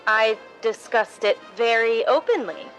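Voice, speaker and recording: A woman playing an ambitious, scheming, falsely sweet young stage actress in a radio drama, deliberate and insinuating. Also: fairly high pitch, a bit bright, faint music behind, rough recording. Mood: defensive, pleading. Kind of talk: recreated 1950s radio drama acting.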